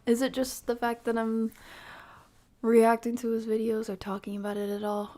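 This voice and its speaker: A woman streamer giving casual reaction commentary, measured and hedging as she thinks aloud. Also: medium pitch, warm tone.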